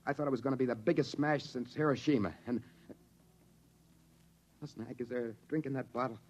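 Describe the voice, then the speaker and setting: Actor as an urgent test pilot, vintage dull radio sound. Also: male voice, medium pitch, darkish tone, a little hiss or hum, close to an everyday voice. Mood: urgent, shaken. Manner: urgent, halting, insistent. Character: shaken, earnest rocket test pilot insisting on his story. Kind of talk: 1950s radio drama acting. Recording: dull, band-limited old radio recording.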